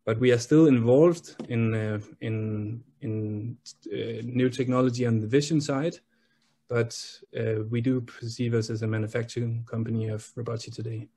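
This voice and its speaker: A man's voice: medium pitch, steady tone, moderate pace, dark tone, husky. A young man with a Danish accent, speaking as a guest on a video call interview, with lots of fillers and restarts. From Denmark. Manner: hesitant, many fillers and restarts. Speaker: guest, sales manager. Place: small room indoors. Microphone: laptop webcam on video call.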